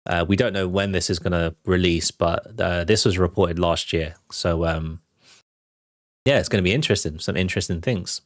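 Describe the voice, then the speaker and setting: A British man with a fairly low voice hosts a casual entertainment livestream. He talks conversationally with relaxed slang, working through his opinions out loud. The sound is dry, with no room echo. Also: normal speed. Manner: conversational, slangy, thinking aloud. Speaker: host of an entertainment news livestream. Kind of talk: casual livestream commentary on film and TV news.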